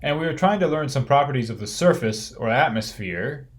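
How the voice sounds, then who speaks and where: A man reading a technical course lecture in a measured, explanatory way. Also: medium pitch, expressive.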